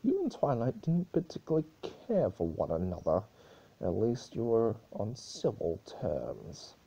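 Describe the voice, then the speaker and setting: A man's voice: on the low side, wildly swooping pitch, a little slow, gravelly, warm tone, slightly rough, heavily acted, poor audio. A man voicing a stern, weary female pony princess with a regal, exasperated manner, in a story reading. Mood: demanding.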